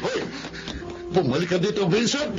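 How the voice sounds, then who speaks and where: Stern Filipino father, high-pitched. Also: big pitch swings, moderate pace, loud music behind the voice. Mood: outraged. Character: stern, protective father of twin daughters, hot-tempered. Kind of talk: Tagalog radio drama acting. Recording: dull, heavily noisy radio drama audio.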